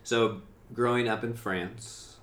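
A man hosting a podcast, asking interview questions in a halting way and often restarting them. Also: medium pitch, steady tone, a bit bright. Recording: echoey room.

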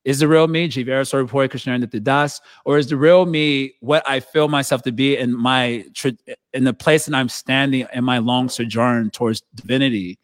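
A man's voice: medium pitch, fairly even intonation, normal speed, dry recording. A man reflecting thoughtfully on a personal question in a devotional discussion, searching for words and restarting his sentences.

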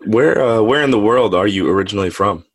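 A man's voice: lowish voice, fast, dry recording. A man hosting a podcast, asking friendly, curious interview questions. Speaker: podcast host.

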